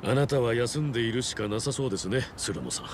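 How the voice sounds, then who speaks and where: A man's voice: medium pitch, lively intonation, natural voice. Courteous, unruffled game fixer, male actor, mid-range voice, dry understatement, faint background noise. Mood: cool, wry. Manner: polite, measured, wry. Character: polished, composed male fixer, dryly courteous.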